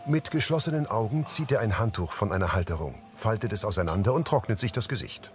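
A man's voice: fairly low pitch, lively intonation, quick pace, soft background music. A man reading scripted audio description, narrating scenes in short factual sentences.

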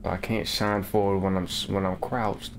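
A man's voice: low-pitched, moderate pace, a little hiss or hum. Slangy, profane young man gaming. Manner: loose, slangy, profane, excitable. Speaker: gaming streamer. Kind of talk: casual let's-play commentary.

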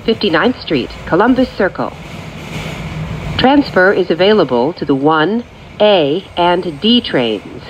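Woman train announcer, slow n measured, low voice, recorded on board w train noise. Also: sing-song. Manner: measured, formulaic, clearly separated phrases. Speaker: transit announcer. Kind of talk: scripted automated train announcements. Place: recorded off speakers inside a subway train. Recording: muffled capture with train noise. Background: train ambience.

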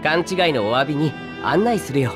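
A man's voice: fairly high pitch, lively intonation, fairly quick, music in the background, close to an everyday voice. A man voicing an easygoing, courteous young robed mage in a video game, breezy and friendly. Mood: friendly, apologetic. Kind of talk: Japanese video game voice acting.

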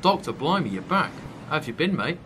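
A man's voice: fairly high pitch, big pitch swings, fairly quick, a bit bright, slight background noise. A British man playing a chummy, down-to-earth London newspaper seller from the 1980s in a fan audio drama. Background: music.

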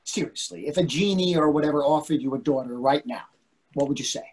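A man's voice: a little high, fast talker, a little mellow, husky, rough recording. An older man playing an anxious, earnest husband and father in a play reading, needling and conversational over a video call. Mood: tender. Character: anxious, fretful middle-aged husband and father. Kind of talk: readers theatre play reading.

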